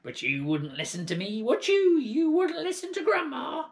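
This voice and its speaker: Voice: sits high, sing-song, moderate pace, pitched above the performer's own voice. A storybook reader voicing a scrawny, ancient grandmother with a screechy, shrieking voice. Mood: scornful. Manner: shrieking, gleeful bossy shouts. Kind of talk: storybook read-aloud.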